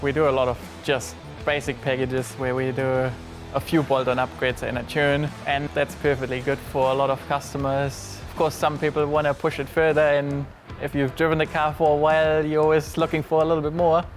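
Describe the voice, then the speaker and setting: Man, German accent, flat delivery, halting with fillers, faint guitar music behind. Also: fairly high pitch. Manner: relaxed, hesitant, many fillers and restarts. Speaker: workshop owner. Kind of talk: casual interview.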